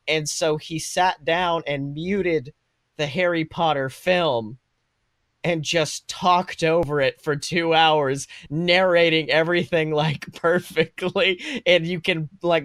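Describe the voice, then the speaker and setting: A young man in his twenties with a fairly high voice, recorded dry and close. He talks casually as a podcast co-host, swearing freely and telling stories with irreverent emphasis. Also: a bit bright, no room sound. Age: 20s. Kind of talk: casual chat, animated storytelling.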